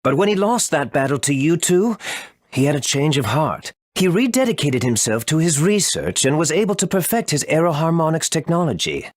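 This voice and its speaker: A man's voice: fairly high pitch, sing-song, normal speed, no echo, clean studio sound. A man playing an apologetic, earnest scientist in a video game, speaking formally as he explains things. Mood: earnest, remorseful. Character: apologetic, earnest male scientist, grandson of a villain. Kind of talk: English video game voice acting.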